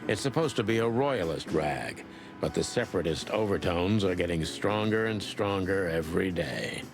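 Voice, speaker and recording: An actor playing a world-weary, wry rooster detective in a noir video game, with a dry, hard-boiled manner. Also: male voice, low voice, lively intonation, moderate pace. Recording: music under the voice.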